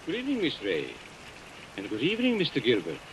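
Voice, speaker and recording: Suave, menacing blackmailer, lively intonation. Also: male voice, mid-range voice, loud music behind the voice, low-quality recording. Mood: suavely sinister. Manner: polite, formal, deliberate, insinuating. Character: suave, menacing male blackmailer, coolly mocking and shrewd. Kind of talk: old-time radio suspense acting. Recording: vintage radio recording with hiss. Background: rain sounds.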